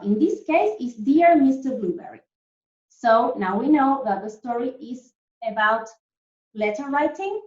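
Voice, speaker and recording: A woman teacher reading a story to young children in a deliberate, animated way, often putting questions to them. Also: high voice. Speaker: primary school teacher reading a story to pupils. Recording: roomy, dull lo-fi sound.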